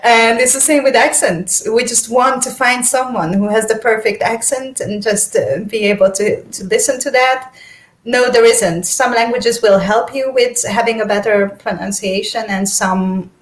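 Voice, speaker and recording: A woman's voice: a little high, normal speed, reverberant. A young woman, a native speaker of Esperanto and Hungarian, chatting as an interview guest, laughing often and restarting her sentences. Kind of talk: casual conversation.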